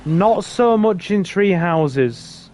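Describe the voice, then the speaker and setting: A man's voice: high-pitched, lively intonation, very slow, darkish tone, a little hiss or hum. A man hosting a casual gaming stream, chatting with a friend in a rambling way with fillers. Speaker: streamer host.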